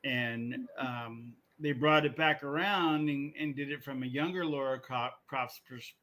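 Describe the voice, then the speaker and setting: A man co-hosting a podcast, chatting casually and working through his points in a halting, rambling way with repeated phrases. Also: mid-range voice, fairly even intonation, bright, forceful tone. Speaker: podcast co-host. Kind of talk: casual chat about video game movies.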